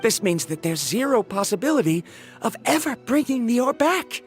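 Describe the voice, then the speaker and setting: A woman's voice: a little high, big pitch swings, moderate pace. A woman playing a driven, serious female adventurer in a video game, speaking formally. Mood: dismayed. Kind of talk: scripted game dialogue. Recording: background music under the dialogue.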